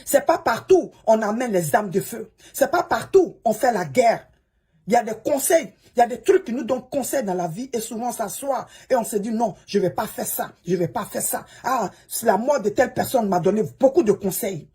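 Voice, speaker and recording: Husky-voiced woman preaching peace. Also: on the high side, expressive, moderate pace, mellow. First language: English. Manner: pleading and preachy, repeats the listener's name. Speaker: social media coach. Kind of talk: advice monologue to a fellow creator.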